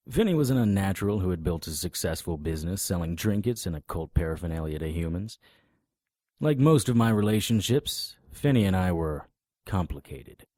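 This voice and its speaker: A man's voice: sits low, mellow, no echo, studio quality. A man playing a wry, stubborn occult investigator who narrates and argues in a noir audio drama. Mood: wry, measured. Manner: sardonic, confrontational.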